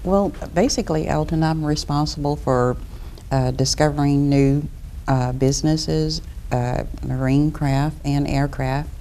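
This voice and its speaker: Middle-aged woman from Florida, guest on a local cable interview show, mellow, methodical. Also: deep voice, steady tone, a little slow, slight background noise. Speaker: property appraiser guest. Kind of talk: studio interview.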